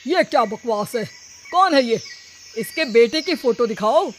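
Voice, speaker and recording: A woman's voice: a little high, animated, a little slow, slight background noise. A woman voicing a protective, skeptical middle-aged father in a cartoon story, with a questioning, protesting manner. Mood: outraged. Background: background music.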